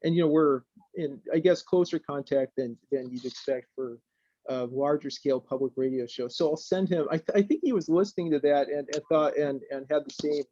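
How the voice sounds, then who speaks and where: A man's voice: on the high side, brisk, dark tone, dry recording, highs cut off. A man hosting a casual radio interview, hesitant and searching for his words. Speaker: radio show host interviewing.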